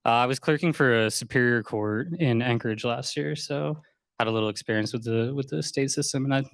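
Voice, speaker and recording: A young man from Texas, a law clerk, introducing himself casually with some halting. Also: medium pitch, creaky, dry recording.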